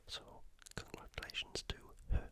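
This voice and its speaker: Whispering ASMR host. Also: moderate pace. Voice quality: breathy, unvoiced whisper. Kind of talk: whispered ASMR fight recap commentary.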